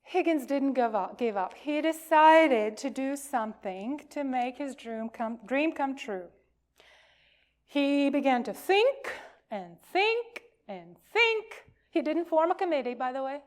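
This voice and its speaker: A woman reading a children's story aloud to a congregation, telling it expressively with emphasis and deliberate pauses. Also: high-pitched, lively intonation.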